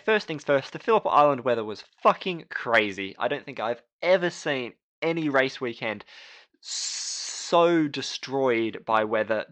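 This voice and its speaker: A man's voice: medium pitch, fairly bright tone. A man co-hosting a casual motorsport podcast, talking with lots of fillers and restarts. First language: English. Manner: chatty, frequent fillers and restarts. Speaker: podcast co-host.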